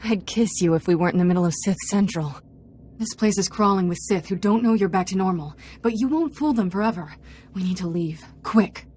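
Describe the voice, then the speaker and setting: An actress playing a loyal, practical Jedi companion, direct and urgent, in a science fiction game. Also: female voice, mid-range voice, fairly quick, darkish tone, a little hiss or hum, lightly acted.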